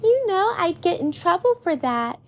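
A woman's voice: very high voice, big pitch swings, normal speed, pitched above the performer's own voice. A woman voicing a sensible, scolding young village girl in storybook dialogue, matter-of-fact and chiding.

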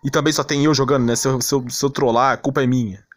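A man's voice: medium pitch, steady tone, fast talker. A man with a Brazilian Portuguese accent giving casual live game commentary in a slangy, mildly grumbling manner. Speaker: gaming streamer. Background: game music.

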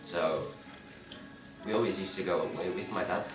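Teen guy from Belgium, very low voice, distant in a roomy bar over loud music. Relaxed, halting interviewee. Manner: relaxed, hesitant, frequent restarts. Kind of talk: casual interview answers. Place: dim bar with red walls, indoors.

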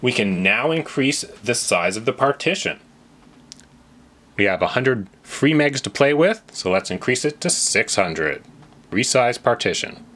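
Man narrating a tutorial with very lively pitch. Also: a little slow. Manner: instructional, plain-spoken, addresses viewer directly. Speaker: tech tutorial presenter. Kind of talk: step-by-step software tutorial narration.

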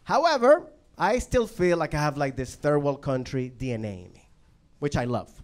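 A man in his thirties from Venezuela doing an animated stand-up set on a handheld mic. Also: a little high, moderate pace. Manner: animated, chatty, asks the crowd "right?". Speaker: stand-up comedian. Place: comedy club stage, indoors.

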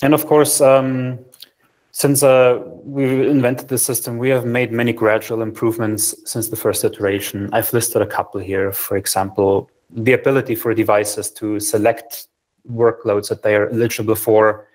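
Young guy on a video call walking through a tech system, lowish voice, lots of ums. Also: moderate pace. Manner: steady explanatory delivery with frequent ums. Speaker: software engineer presenting a research platform. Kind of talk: technical conference talk over video call. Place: small room indoors. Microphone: video call, webcam framing.

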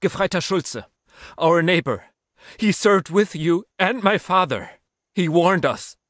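An actor playing an earnest, anxious young village lad in a video game. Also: male voice, sits high, animated, unhurried, a bit bright, no echo, clean studio sound. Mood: urgent. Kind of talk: video game cutscene acting.

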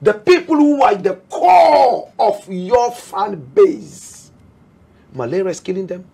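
A man gives forceful opinion in a gravelly, husky voice. His pitch swings widely and he draws out words for dramatic emphasis. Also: animated, takes it slowly. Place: indoors, curtained room.

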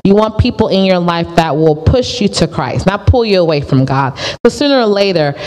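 A woman's voice: on the low side, darkish tone, big-room sound. A woman teaching a pre-teen church class from a stage with a handheld mic, earnest and often repeating herself.

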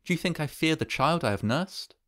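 A man's voice: on the high side, animated, moderate pace, voice pushed up. A man voicing a grieving old woman in a storybook, frantic, pleading and insistent. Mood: feverish, commanding. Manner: urgent, insistent.

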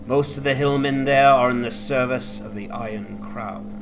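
A man's voice: mid-range voice, normal speed, over music, lightly acted. Man voicing a resolute, earnest fantasy heroine. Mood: resolute, grave. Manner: earnest, formal. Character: resolute woman, daughter of a lost leader. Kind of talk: fantasy game dramatization.

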